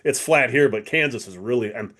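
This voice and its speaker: A man's voice: mid-range voice, brisk, fairly bright tone. A man with an American accent chatting casually as a podcast co-host.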